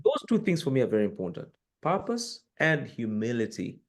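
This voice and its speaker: A man's voice: medium pitch, huge pitch swings, fairly quick, vocal fry, soft background music. Earnest man from northern Uganda, reflective. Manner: earnest, emphatic, with many fillers. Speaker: interview guest, corporate executive. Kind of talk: reflective conversational interview. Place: room with books behind him.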